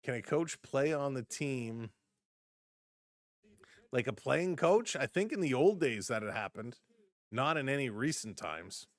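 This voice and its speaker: A man calling a hockey game live for his fan stream, brisk in the action and chatty in between. His voice is mid-pitched and getting a little hoarse. The sound is close and dry, with no room around it. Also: expressive, no room sound. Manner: brisk play-by-play, chatty asides to viewers. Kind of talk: live play-by-play and chat reactions.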